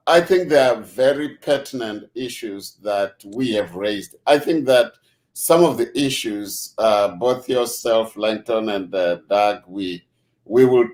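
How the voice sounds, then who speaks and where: A man hosting a video call discussion, measured and unhurried, with many fillers as he thanks his guests. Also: medium pitch, a little mellow, rough recording. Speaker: host.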